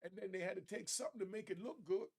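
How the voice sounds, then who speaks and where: A man's voice: high voice, rapid-fire, gravelly, husky. A man giving informal opinion commentary to viewers, talking in street slang with emphatic repetition.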